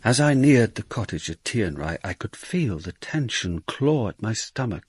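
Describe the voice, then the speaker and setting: An actor narrating a radio drama as a world-weary, wry police detective, with a gravelly, husky voice. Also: male voice, on the low side, big pitch swings, normal speed, no echo, clean studio sound. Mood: wry, reflective.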